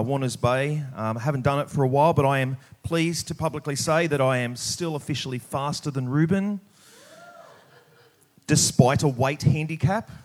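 A middle-aged Australian man preaching at church in an informal, plain-spoken, conversational way. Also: medium pitch. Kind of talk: church sermon with prayer, scripture reading and casual anecdotes.

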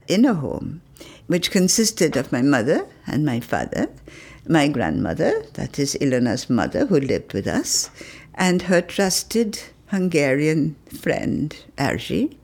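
An older woman, a retired professor, recalling her family in an interview, thoughtful and hesitant with pauses and fillers. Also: sits low, darkish tone, slightly rough. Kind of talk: reflective interview recollection.

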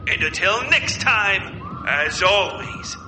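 A woman's voice: fairly low pitch, lively intonation, slow pace. A woman announcing in a scripted, dramatic style, as if previewing the next episode of a fiction podcast. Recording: echoey, music behind voice.

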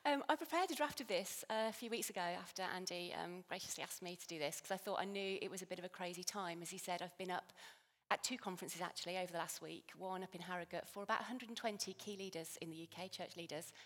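A woman from England preaching a sermon in a chatty, self-deprecating way, her voice a little hoarse. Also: lowish voice, fast, a bit bright. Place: church hall. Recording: long church reverb.

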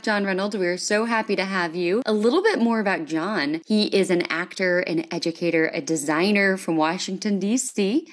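A woman with a slightly low-pitched voice hosts a podcast. She talks in a conversational interviewing style, informal and chatty in how she addresses guest and listeners. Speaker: podcast host.

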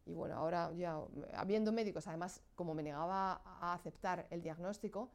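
A woman's voice: on the low side, a little fast. Hesitant woman, Spanish not her first language. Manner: hesitant, searching for words with frequent restarts. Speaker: guest sharing her experience. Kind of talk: candid personal account.

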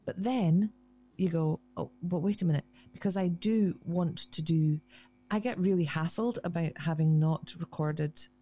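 A woman's voice: fairly low pitch, unhurried, mellow, slightly rough, faint music behind. A woman harpist, chatting casually and self-deprecatingly as a guest in an interview, often restarting her sentences.

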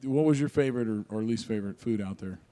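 A man's voice: lowish voice, fast talker, dark tone. A man hosting a casual interview, friendly and chatty, laughing easily and using plenty of fillers. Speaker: podcast host.

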